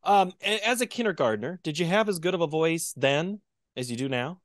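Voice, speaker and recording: A man's voice: fairly high pitch, animated, brisk, no room sound, studio quality. A man interviewing a guest over a video call, asking friendly, playful questions.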